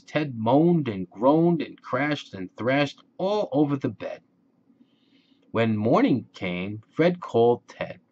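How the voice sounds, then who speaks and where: Male narrator for kids' picture book, unhurried and very lively pitch, slightly dull lo-fi recording. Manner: slow, deliberate, expressive phrasing for young listeners. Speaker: children's book reader. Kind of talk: storybook read-aloud narration for children.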